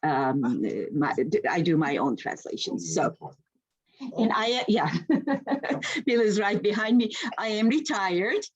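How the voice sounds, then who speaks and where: A woman in her sixties with a Turkish accent, a poet who speaks hesitantly with many fillers before reading in a measured way over a video call. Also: mid-range voice, expressive, husky, highs cut off. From Turkey. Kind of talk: introducing herself and reading a poem.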